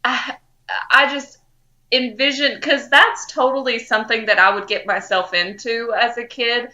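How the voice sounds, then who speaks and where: A woman's voice: a little high, steady tone, a little slow, pushed and bright, muffled recording. A woman co-hosting a podcast in casual fan chat. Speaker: podcast co-host.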